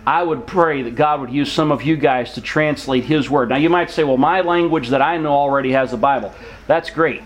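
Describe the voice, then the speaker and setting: A man who grew up in Mexico, preaching an earnest, encouraging sermon to young people and reading scripture aloud. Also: mid-range voice. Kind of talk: sermon to missionary kids.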